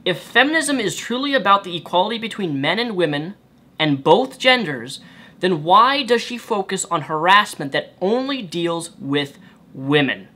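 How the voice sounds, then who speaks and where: A young man with a higher-pitched voice, giving reaction commentary in an indignant, rhetorical way and repeating his points. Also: bright, forceful tone. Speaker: YouTube commentator.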